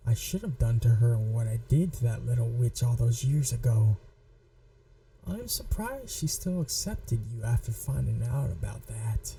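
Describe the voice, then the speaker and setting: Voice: medium pitch, creaky, warm tone, distant mic, poor audio. A performer voicing a possessive, manipulative young yandere in an ASMR roleplay, taunting and coldly controlled. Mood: bitter, hurt. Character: possessive, manipulative yandere childhood friend, menacing young blackmailer. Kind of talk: scripted ASMR roleplay audio drama.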